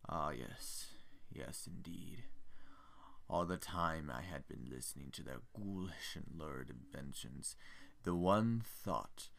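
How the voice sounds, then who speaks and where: A man acts out a melancholy older gentleman haunted by his past. His voice is low and creaky, and he delivers his stage monologue in a formal, measured, brooding way, as a theatrical ghost story. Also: animated, heavily acted. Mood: haunted, grave. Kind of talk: dramatic monologue reading from a stage play.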